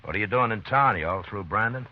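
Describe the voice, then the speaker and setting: An American actor playing a steady, grave frontier marshal in a radio western, terse, calm and authoritative. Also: male voice, on the low side, fast talker, close to an everyday voice. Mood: grave, probing. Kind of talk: old-time radio western acting. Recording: noisy, muffled old radio recording.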